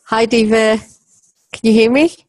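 A woman's voice: fairly high pitch, fairly even intonation, unhurried, darkish tone, dry recording. A woman from England whose first language was not English, asking a hesitant question over a laptop video call from a dim room. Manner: hesitant, restarts mid-sentence. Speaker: caller with a question. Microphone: laptop webcam on a video call.

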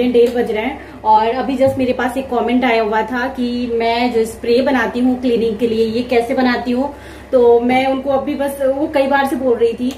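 A woman's voice: a little high, darkish tone, slight background noise. Chatty young woman in echoey kitchen. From India. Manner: chatty and explanatory, addressing viewers directly. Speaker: vlogger. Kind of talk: casual home vlog, showing groceries and explaining. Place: home kitchen, indoors. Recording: echoey home room.

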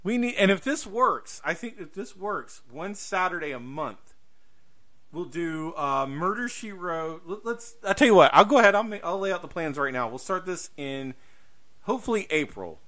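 A man's voice: sits high, big pitch swings, moderate pace, bright, forceful tone. A man co-hosting a podcast in casual promotional chat, jokey, exaggerated and rambling. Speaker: podcast host.

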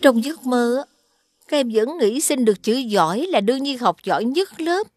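A woman playing a caring but strict mother in an animated series. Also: fairly high pitch, moderate pace, no room sound. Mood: scheming. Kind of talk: Vietnamese animated series dubbing.

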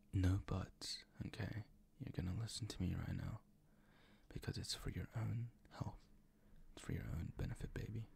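Man acting a very gravelly, whispering doting boyfriend. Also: sits low, full character voice. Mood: gently firm. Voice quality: breathy whisper with gravelly fry. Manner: soft, coaxing, slow and reassuring. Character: doting, gently dominant, teasing boyfriend comforting his partner. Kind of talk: intimate ASMR boyfriend roleplay, improvised.

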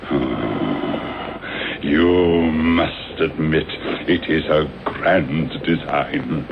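An actor with a British accent playing a mad, vengeful, gloating villain in a theatrical, wordy radio drama style. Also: male voice, a bass voice, extremely slow, gravelly, full character voice. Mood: gloating, mock-mournful. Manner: theatrical, sardonic, elaborately wordy. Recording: dull, noisy old radio broadcast recording.

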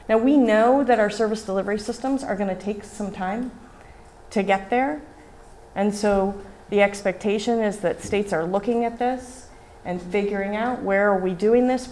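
A middle-aged woman giving a conference keynote at a podium microphone in a hotel hall, animated and often asking "right?". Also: medium pitch, a little hiss or hum. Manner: animated, gestures widely, asks rhetorical "right?". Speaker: keynote speaker.

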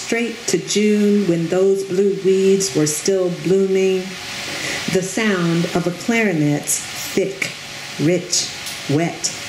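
A middle-aged woman reading her own poetry from a podium at an outdoor tent stage, in a measured, deliberate way. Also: fairly low pitch. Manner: slow, measured, deliberate delivery. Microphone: podium microphone on a stand. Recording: roomy sound with steady background noise.

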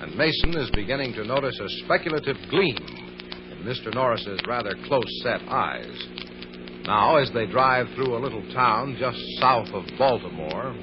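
A man's voice: medium pitch, lively intonation, moderate pace, over music. A man narrating an old radio serial from a script. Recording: dull, noisy old radio recording.

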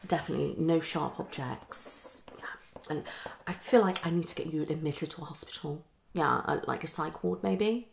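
A woman's voice: low-pitched, creaky, a little hiss or hum. A young woman playing a hasty, tactless female psychologist in an ASMR roleplay, half whispering in a condescending, hesitant way. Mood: wary, judging. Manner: fast switching, hesitant repetitions, condescending. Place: small living room, indoors.

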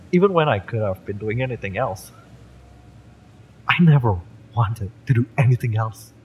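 A man playing a fiery, lovesick young mercenary bodyguard in a romance audio roleplay, speaking with halting, wounded emotion. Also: on the low side, a little mellow, slight background noise, poor audio. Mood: bitter longing.

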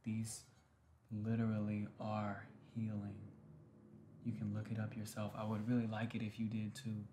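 A man in his thirties speaking softly in casual ASMR style, unhurried and often slipping into whispers. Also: on the low side, completely flat delivery. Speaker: ASMR host. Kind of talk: soft-spoken ASMR, casual chat to viewers. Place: small home room, indoors. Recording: faint background noise and room ambience.

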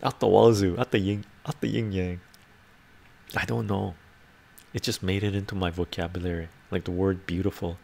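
An older man from Canada talks loosely and warmly at a relaxed pace, responding to chat messages one by one. His voice sits low with a rough, gravelly fry. It is close and clean through a clip-on mic in a book-lined home study. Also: normal speed. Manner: chatty, answers viewer comments aloud. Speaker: livestream host reading chat. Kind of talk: casual livestream chat. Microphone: lapel mic.

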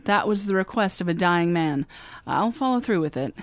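An actress playing Candy Matson, a brisk, wry, no-nonsense private eye who also narrates. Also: female voice, lowish voice, expressive, no room sound. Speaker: narrator and lead detective. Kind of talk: live 1950s radio drama acting.

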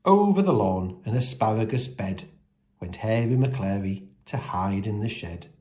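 A man reading a children's picture book aloud as a storyteller, with expressive, deliberate delivery of the rhymes. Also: on the low side.

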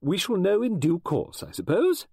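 A man putting on a chatty, high-voiced lady novelist. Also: wildly swooping pitch, moderate pace, creaky, darkish tone, slightly rough, no room sound, clean studio sound, full character voice. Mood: brisk. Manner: rambling, emphatic, high-pitched. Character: brisk chatty middle-aged woman novelist.

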